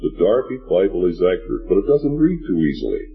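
An older man preaching a sermon in a measured, earnest way, stressing words slowly. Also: deep voice, big pitch swings, creaky. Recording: dull lo-fi recording with faint music.

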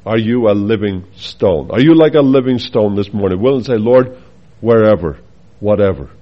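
A man's voice: lowish voice, lively intonation, moderate pace, muffled recording. A man from the Faroe Islands with a Faroese accent, preaching a sermon earnestly, with asides and rhetorical questions to the congregation.

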